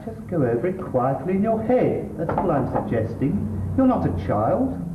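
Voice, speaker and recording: Sardonic middle-class English husband played by a man, lightish pitch, exasperated, theatrical; tinny, distant stage recording. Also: sing-song, moderate pace, roomy sound, background noise. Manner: exasperated, pedantic, dry sarcasm. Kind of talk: theatrical stage comedy acting.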